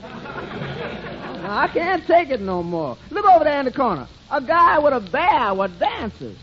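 An actor playing a skeptical, exasperated waiter who grumbles wisecracks in a 1940s radio sitcom. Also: male voice, extremely high pitch, huge pitch swings, unhurried, fairly bright tone, heavily acted. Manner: sardonic, folksy grumbling. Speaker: comedy supporting player. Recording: muffled, noisy 1940s radio, audience laughter.

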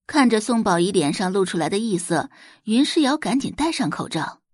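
Expressive, slightly husky female audiobook narrator. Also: mid-range voice, fairly quick, no room sound, clean studio sound. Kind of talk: dramatic scripted narration of a romance novel.

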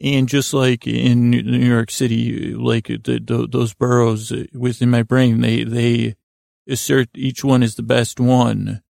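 A man with a gravelly, creaky voice hosting a sleep podcast, delivering a whimsical, meandering monologue full of halting false starts and digressions. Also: medium pitch, little pitch movement, a little mellow, no room sound, studio quality. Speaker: podcast host. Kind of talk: rambling, whimsical bedtime monologue to help listeners fall asleep.

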